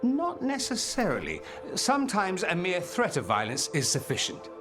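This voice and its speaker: A suave, theatrical fantasy mage, very animated. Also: male voice, sits high, moderate pace, over music. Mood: wry, persuasive. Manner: theatrical, wry, eloquent. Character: suave, ambitious male fantasy mage and scheming rebel. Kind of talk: dramatic video game dialogue acting.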